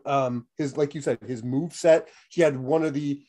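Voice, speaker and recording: A man's voice: a little high, steady tone, moderate pace, mellow. A man in his thirties co-hosting a casual wrestling podcast while sick and losing his voice, rambling with lots of fillers and restarts. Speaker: podcast co-host.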